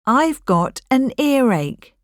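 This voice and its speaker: A woman reading model sentences slowly and clearly for a coursebook recording. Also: high-pitched, very animated, dry recording, studio quality. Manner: slow, careful model sentences for learners. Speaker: course audio speaker. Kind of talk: scripted coursebook audio.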